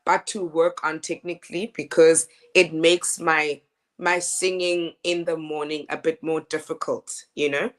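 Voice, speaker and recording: A woman with a deep speaking voice, an opera singer telling her story in a lively, laughing, stop-start way. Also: unhurried. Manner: animated, laughing storyteller with frequent restarts. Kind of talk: casual interview chat.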